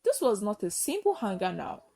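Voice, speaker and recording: A woman narrating a folktale as a storyteller, with earnest, expressive and dramatic delivery. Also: medium pitch, moderate pace, vocal fry.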